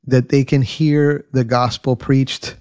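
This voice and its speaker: Earnest Cuban American man, halting speech. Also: medium pitch, flat delivery, a little mellow, clean tone. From United States. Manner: earnest, halting with many restarts. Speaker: radio program guest. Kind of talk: conversational interview. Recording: slightly dull lo-fi audio.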